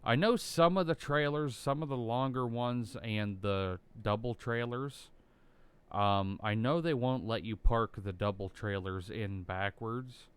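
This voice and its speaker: A young American man with a bassy voice, rambling through casual commentary while playing a driving game, full of ums and likes. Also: unhurried, clean tone. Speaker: gaming YouTuber.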